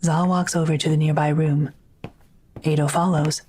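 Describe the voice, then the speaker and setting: A woman narrator with a low-pitched voice. She reads scripted audio drama narration, describing each action. There is faint noise in the recording. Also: flat delivery, moderate pace, dark tone.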